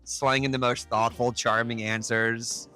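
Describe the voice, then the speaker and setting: A man with a bright, forward voice and mid-range pitch hosts a casual podcast. He banters playfully and effusively, often joking with the people he talks to. Music plays faintly behind him. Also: flat delivery, a little slow, rough recording. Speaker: podcast host.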